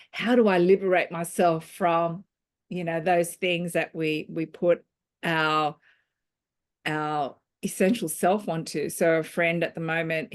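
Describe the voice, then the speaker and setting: Woman, middle-aged, low pitch, reflective, personal sharing in group discussion.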